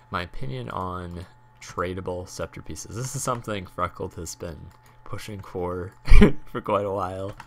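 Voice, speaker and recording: A young man with a hoarse voice from a cold, rambling casually with many fillers while talking about a game he streams. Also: low voice, a bit bright, a little hiss or hum. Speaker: gaming YouTuber and streamer. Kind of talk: casual talk over gameplay.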